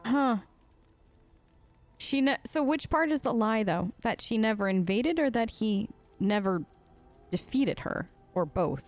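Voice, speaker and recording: Higher-voiced woman role-playing through a game. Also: expressive, unhurried. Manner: conversational, informal, reacting in character. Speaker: let's play streamer. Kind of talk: casual improvised role-play in a game playthrough.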